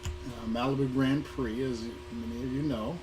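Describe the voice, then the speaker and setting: A middle-aged or older American man reminisces in an informal talk, his voice hoarse and sitting at an average pitch. He speaks haltingly, repeating small words and pausing to find the next one. The live recording has clearly audible background noise. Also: fairly even intonation. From Southern California. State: hoarse, getting over an illness. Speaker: guest speaker, museum programming manager. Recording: noisy live recording with room ambience.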